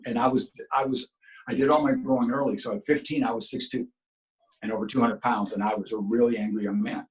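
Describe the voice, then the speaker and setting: A middle-aged man born in Cuba, whose first language was Spanish, talking at an everyday pitch. He tells long stories in earnest, often restarting his sentences. His delivery sounds like a guest chatting on an interview show. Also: fairly even intonation, fast, rough recording. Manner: earnest, talks in long runs with many restarts. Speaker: guest actor. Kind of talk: casual interview storytelling.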